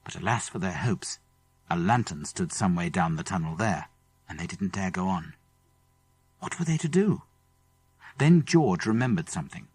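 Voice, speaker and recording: A man's voice: sits low, lively intonation, normal speed, pauses often, a little mellow, breathy edge, faint music behind. A man with a British English accent narrating a children's adventure story dramatically. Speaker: audiobook narrator. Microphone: close studio microphone.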